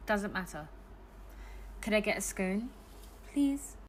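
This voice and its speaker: An actress plays a troubled young woman in an audio drama, earnest and burdened by a secret. Her voice is mid-pitched, with faint noise behind it. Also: animated, slow. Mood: regretful, distressed. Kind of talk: audio play acting.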